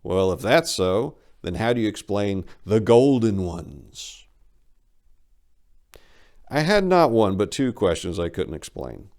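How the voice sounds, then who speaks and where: A man performing a short story as a wry, rambling, incredulous accident survivor, animated and emphatic in a conversational way. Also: fairly low pitch, takes it slowly, full character voice. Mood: argumentative. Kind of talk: dramatic reading of a short story.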